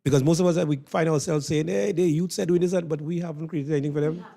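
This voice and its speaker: A man's voice: a little high, steady tone, fast talker, gravelly, warm tone. A man with a Trinidadian accent hosting a radio interview in an encouraging, conversational way. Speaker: radio host.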